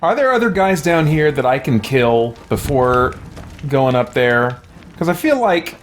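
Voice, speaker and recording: A man's voice: medium pitch, sing-song, measured pace, slight background noise. A man giving casual video game commentary, thinking aloud and musing to himself with mild exclamations. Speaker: let's play host.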